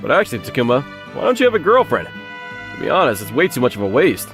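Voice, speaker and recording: A man voicing a hearty, flattering senior office colleague in a comic dub, effusive and familiar. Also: medium pitch, fast talker, music in the background. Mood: teasing. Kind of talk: anime-style dub acting.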